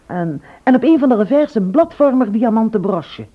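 A woman's voice: on the high side, lively intonation, normal speed. Concerned, inquisitive woman, slightly muffled. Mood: attentive, questioning. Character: concerned, inquisitive sister of an inspector, attentive. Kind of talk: radio drama acting. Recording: slightly muffled old radio recording.

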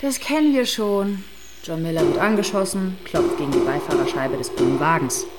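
A woman's voice: low-pitched, expressive, moderate pace, loud music behind the voice. A woman playing a sober, sceptical police detective in a German radio crime drama, dry and thoughtful in delivery.

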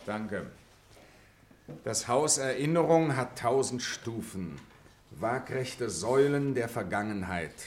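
An actor playing a middle-aged man at a class reunion, speaking in pointed, declamatory phrases in an acted drama. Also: mid-range voice. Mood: solemn recital. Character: pointed, declamatory middle-aged former pupil reciting and arguing. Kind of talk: acted radio-play dialogue and recitation.

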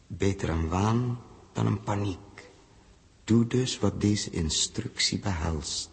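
A man's voice: fairly low pitch, takes it slowly, vocal fry, husky, full character voice. A man acting a blunt, principled spaceship commander in a 1960s Dutch science fiction radio drama. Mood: grave, calming. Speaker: spaceship captain. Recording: noisy old radio drama recording, clicks.

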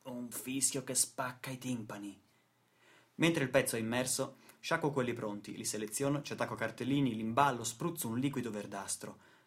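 A man's voice: mid-range voice, fairly bright tone, lightly acted. A man acting a weary, indignant factory worker in a dramatic radio play monologue, with stammered repetitions. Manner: dramatic, stammering repetitions, swings from muttering to outbursts. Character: weary, indignant male factory worker telling his grim story.

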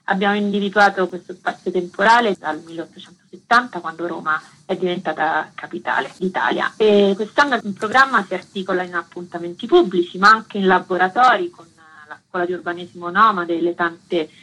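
A woman's voice: lowish voice, brisk, fairly bright tone, husky, rough recording. A woman explaining a project in long, flowing sentences as a guest in a radio interview.

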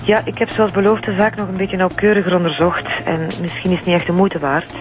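A woman's voice: fairly low pitch, fast talker, muffled recording, soft background music, lightly acted. An actress with a Flemish accent playing a friendly, matter-of-fact female forensic examiner in a radio drama. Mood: friendly, businesslike.

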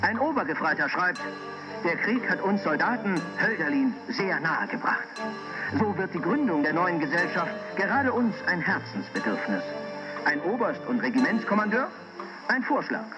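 High voiced guy reading out an old report, very formal and declamatory, music behind him. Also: sing-song, normal speed, lo-fi sound. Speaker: reader of historical reports. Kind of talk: scripted formal documentary reading. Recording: music playing clearly underneath.

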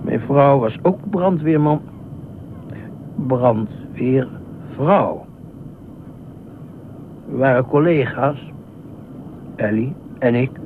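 An actor in a Dutch radio play as an anxious, obsessive widowed ex-fireman who speaks haltingly, repeats himself and nitpicks. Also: animated. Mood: earnest, rambling. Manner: halting, repetitive, pedantic, fretful. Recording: muffled narrow-band old radio recording, faint rumble.

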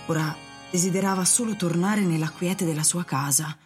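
A woman narrator reading a literary radio drama in a measured storytelling manner. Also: on the low side, soft background music.

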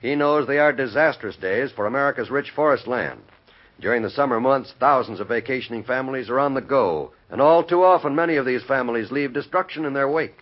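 Vintage radio announcer: male, mid-pitched, husky, animated, on a dull lo-fi archive recording with faint music. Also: a little fast. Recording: muffled old radio transcription, faint music.

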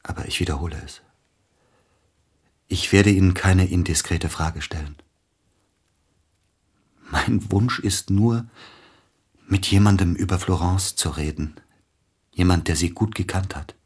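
A man's voice: low voice, a little slow, long pauses, slightly rough. A man playing an aging, bitter, melancholy celebrated pianist in a radio play, speaking in a formal, brooding, theatrical way. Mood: accusing, resigned.

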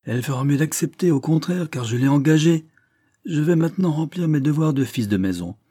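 A man voicing a careless, lovestruck young lord who speaks in a loud, flippant way. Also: a little high, expressive, brisk, husky, dry recording, clean studio sound, pitched above the performer's own voice. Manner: boisterous, flippant.

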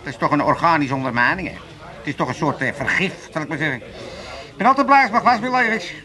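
An old family man rambles through a radio comedy. He is sociable yet full of complaints, his voice bright and very lively, rising and dropping freely. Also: a little high, fast talker. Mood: jovial, chatty. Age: older. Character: grumbling, sociable old tippling father and grandfather. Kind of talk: comic radio drama acting.

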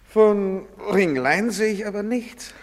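An actor playing a leering, swaggering young soldier who mocks and taunts in a half-singing way. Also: male voice, high-pitched, takes it slowly. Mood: mocking. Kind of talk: stage play recording. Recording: long hall reverb.

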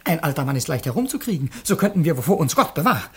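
Husky, sly, flattering servant. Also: male voice, fairly high pitch, quick pace, heavily acted. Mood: insinuating. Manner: insinuating, animated storyteller. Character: sly, flattering male servant, scheming and mischievous trickster. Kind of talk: theatrical German classic radio comedy acting.

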